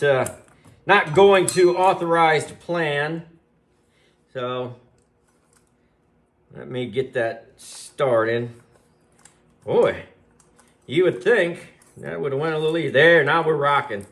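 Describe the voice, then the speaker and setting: A man's voice: on the high side, fairly bright tone. A man giving a casual, folksy hands-on demonstration as a DIY host, often talking half to himself. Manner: folksy, talks to himself while working. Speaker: DIY channel host and product reviewer.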